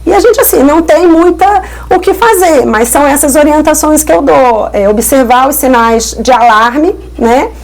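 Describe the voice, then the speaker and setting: A woman with a Carioca accent from Rio de Janeiro, a pediatric lung doctor explaining child health in an interview, with frequent tag questions and gentle diminutives. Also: high-pitched, animated, normal speed, few pauses, poor audio. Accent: Carioca Portuguese. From Rio de Janeiro, Brazil. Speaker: pediatric pulmonologist guest. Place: podcast studio.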